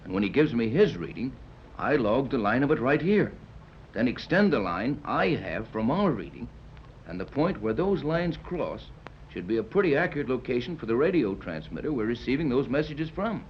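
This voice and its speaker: A man acting a steady, capable sea captain in a 1930s radio serial. He explains and gives orders briskly, with natural authority, in a mid-pitched voice. The old recording is dull, with light noise. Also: lightly acted. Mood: businesslike.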